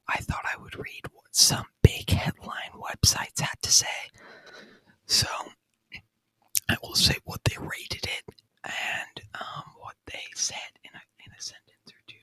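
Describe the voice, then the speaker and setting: A man whispering softly and breathily in an unhurried ASMR review style, close to the microphone. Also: no echo, poor audio. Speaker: ASMR video host.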